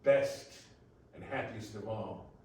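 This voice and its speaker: A man's voice: fairly low pitch, takes it slowly, darkish tone. A man giving scripted storytelling narration as narrator of a readers theater piece. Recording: very echoey room, distant mic, faint noise.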